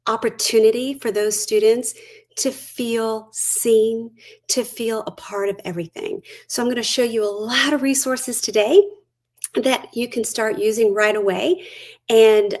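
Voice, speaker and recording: A woman's voice: on the high side, a little mellow. A woman in her forties from Brazil, a curriculum lead giving an upbeat webinar presentation with personal stories over a computer mic. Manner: upbeat, eager, personal storytelling.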